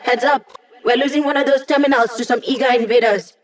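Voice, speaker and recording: Video game mission-support hacker, female, young: wry, conversational, quick with quips; voiced by a woman. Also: fairly high pitch, fairly even intonation, natural voice. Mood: focused, urgent.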